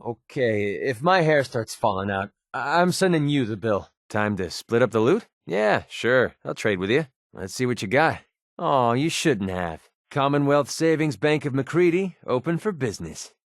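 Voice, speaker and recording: A man's voice: mid-range voice, big pitch swings, normal speed, no echo, clean studio sound. A man playing a sardonic but loyal young mercenary companion in a video game, with a casual, wry delivery. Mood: exasperated, sarcastic.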